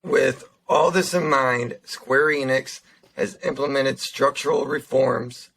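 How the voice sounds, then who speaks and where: A young man with a slightly raspy voice co-hosts a casual podcast, talking his way through stories with frequent fillers and restarts. His pitch sits in an ordinary middle range. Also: steady tone, a bit bright, a little hiss or hum, poor audio. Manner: halting, lots of fillers and restarts. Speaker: podcast co-host. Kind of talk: casual chat, reading news articles.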